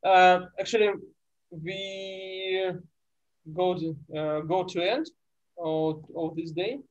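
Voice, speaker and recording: Halting man tutoring over a video call. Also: high-pitched, fairly even intonation, highs cut off. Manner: halting, many fillers and restarts. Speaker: workshop tutor. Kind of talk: online class instruction.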